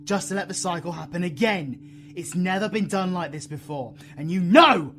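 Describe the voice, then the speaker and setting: A man with a British accent playing a brooding, foul-mouthed dark-magic supervillain in an audio roleplay, intense and full of slang. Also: high-pitched, normal speed, soft background music. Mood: urgent, defiant. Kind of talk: dramatic audio roleplay acting.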